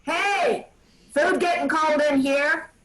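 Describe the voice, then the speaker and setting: A woman playing a busy grandmother in a staged reading, brisk and scolding. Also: high voice, noisy background. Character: busy older grandmother cooking dinner.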